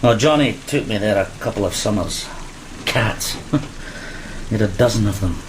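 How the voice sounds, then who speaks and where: Casting: a man as seasoned deckhand, fairly deep, reflective and plain, gothic radio-style play under heavy rain. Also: normal speed, noisy background, close to an everyday voice. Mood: wistful, fond. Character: wistful, weathered male sailor, survivor of a flogging. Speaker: actor in an audio drama. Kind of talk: gothic horror audio play acting. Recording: steady rain behind the voice.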